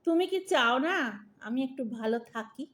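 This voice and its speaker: Woman as elderly wife, very high voice, blithe, teasing, mock-sweet, comic audio play. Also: expressive, normal speed, a bit bright.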